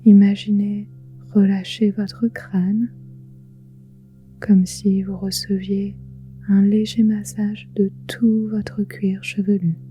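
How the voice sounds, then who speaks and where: A woman guiding a relaxation meditation in a soft, breathy, whispery voice, soothing, with gentle pauses. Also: mid-range voice, level tone. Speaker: yoga nidra guide. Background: soft music with singing bowls.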